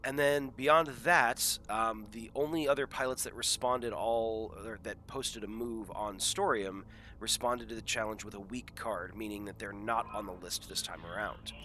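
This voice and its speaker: A man's voice: on the low side, fairly even intonation, moderate pace, bright, forceful tone, a little hiss or hum. A man hosting a live game stream, chatting casually and self-deprecatingly to viewers through a headset, congested from a head cold.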